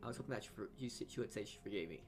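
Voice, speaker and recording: A man's voice: on the low side, music in the background. A man reading a visual novel aloud as a let's-player, stumbling over lines and adding his own asides. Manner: halting reading with frequent stumbles. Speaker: video game let's-player.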